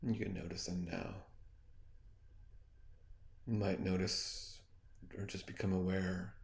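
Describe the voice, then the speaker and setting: A man leading a guided meditation as a teacher, speaking in a soothing, gently suggestive way with long, unhurried pauses. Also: low-pitched, little pitch movement, vocal fry. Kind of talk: guided meditation, hypnotic spoken guidance.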